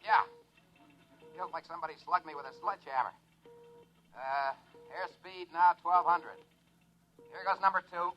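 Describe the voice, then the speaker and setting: Man cast as a cool wisecracking rocket pilot, matter-of-fact cockpit talk, fairly high voice. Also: steady tone, normal speed, pauses often, highs cut off, soft background music, natural voice. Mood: focused. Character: wisecracking young male test pilot, steady under pressure. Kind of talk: 1950s radio drama acting.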